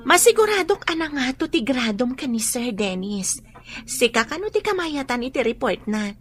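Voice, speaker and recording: A woman's voice: high voice, sing-song, measured pace. A woman playing a friendly, teasing young student in an Ilocano radio drama. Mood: supportive.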